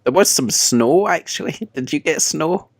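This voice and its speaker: A man with a head cold, his voice croaky, chatting loosely as a podcast host. He laughs at his own slip-ups and keeps things light. The sound is dry and close, with little room around it. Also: on the high side, expressive, moderate pace, no echo. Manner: chatty, self-mocking, laughs often. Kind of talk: casual chat.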